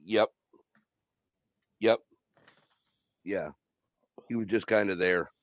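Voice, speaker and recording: A man's voice: lowish voice, fairly even intonation, takes it slowly, no echo. A man co-hosting a podcast in a casual chat, easygoing and telling stories about drumming. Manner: easygoing, storytelling, agreeable. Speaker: co-host, drummer. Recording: dull lo-fi sound.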